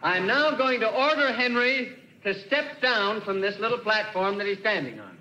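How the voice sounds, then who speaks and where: Eager, bright-voiced inventor. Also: male voice, high voice, expressive, moderate pace, muffled recording, full character voice. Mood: formal, proud. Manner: hesitant, courteous, formal. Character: eager, flustered, polite male inventor showing off his robot. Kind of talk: 1940s radio acting.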